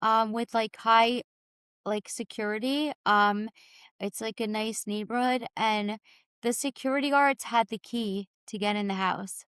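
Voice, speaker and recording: Woman, higher-pitched, raspy, informal candid storyteller, dry close sound, podcast guest. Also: steady tone, unhurried, fairly bright tone, dry recording. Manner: informal, peppered with "like" and "you know". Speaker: podcast guest telling her own story.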